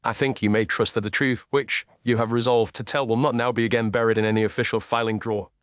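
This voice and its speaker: A man's voice: mid-range voice, steady tone, fast talker, no echo, studio quality, close to an everyday voice. A British man playing a composed, courteous Victorian detective with formal assurance in an audio mystery. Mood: grave, reassuring. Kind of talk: audiobook character acting.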